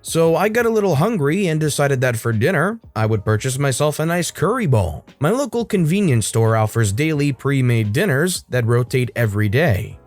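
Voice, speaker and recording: Lively male story narrator, faint music. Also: medium pitch, dry recording. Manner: lively, wry asides. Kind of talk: reading internet stories aloud.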